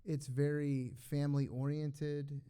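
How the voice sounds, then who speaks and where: Casting: mellow-voiced man, jokey podcast guest, casual fan talk, prone to chuckling. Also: a little high, little pitch movement, unhurried, clean tone. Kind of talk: casual fan chat on stage.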